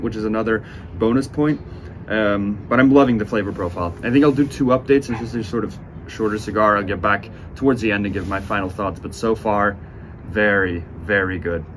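A man in his twenties giving a casual, unhurried review to camera, speaking with enthusiastic praise. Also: lowish voice. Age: 20s. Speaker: cigar reviewer, host. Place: outdoors on a sunny porch by windows. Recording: outdoor ambient noise.

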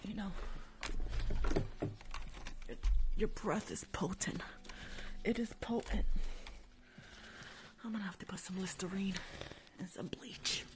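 A woman's voice: medium pitch, slow pace, long pauses. A woman doing a whispered ASMR roleplay as a rude dentist, bossy and teasing, often repeating short phrases. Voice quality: breathy whisper with creaky edges. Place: small room at home, indoors.

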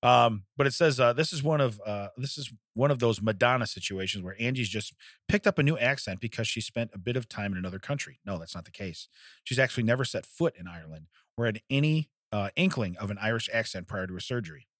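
A man's voice: mid-range voice, expressive, fast, a bit bright, no room sound. A man co-hosting a comedic podcast in a studio, rambling and laughing often as he reads out news stories. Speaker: podcast co-host. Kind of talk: casual comedic podcast chat. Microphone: studio microphone.

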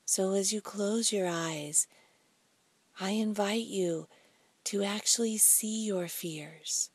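A woman leading a guided meditation in a soft, breathy, soothing voice, speaking deliberately in short phrases with pauses. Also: mid-range voice, dry recording. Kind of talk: slow guided meditation narration.

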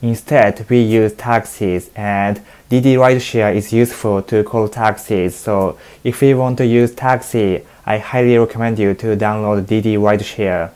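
Japanese guy in his 20s, soft mellow tone, careful non-native english, reacting to camera. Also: fairly low pitch, steady tone, normal speed, few pauses, smooth. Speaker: reaction video host. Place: small plain room indoors.

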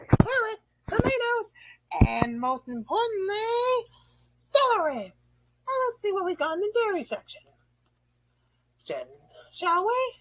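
A man voicing a manic, hot-tempered little cartoon creature, a taunting bully with a shrill, theatrical delivery. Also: fairly bright tone, dry recording, heavily acted, poor audio. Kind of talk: cartoon villain voice reel.

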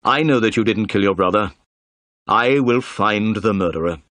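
A man voicing a calm, polite private detective in an audiobook, with an assured, authoritative manner. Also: lowish voice, normal speed, no room sound, lightly acted. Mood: reassuring.

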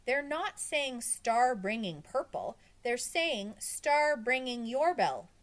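A woman's voice: on the high side, very animated, slow pace, voice pushed up. A woman voicing a puzzled, attentive schoolboy who speaks in an earnest, careful way in a storybook.

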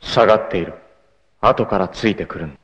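An actor playing a proud, passionate, fiercely protective martial-arts warrior in an anime, with a dramatic, terse, masculine delivery. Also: male voice, lowish voice, normal speed, slightly rough, muffled recording. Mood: grave, resolute. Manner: dramatic, terse, masculine, swinging from hushed to shouting.

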